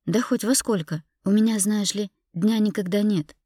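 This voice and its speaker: A woman's voice: medium pitch, moderate pace, warm tone, no room sound, clean studio sound, pitched above the performer's own voice, natural voice. A woman narrator playing a hurt, bitter young woman confronting her husband in an audiobook drama, emotional and indignant. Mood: angry, demanding.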